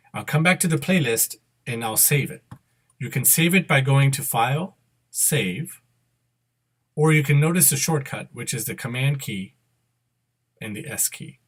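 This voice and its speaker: A middle-aged man narrating a step-by-step screen demo, unscripted and halting, correcting himself as he instructs. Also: medium pitch, a bit bright. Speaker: software tutorial presenter. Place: indoor room at a computer.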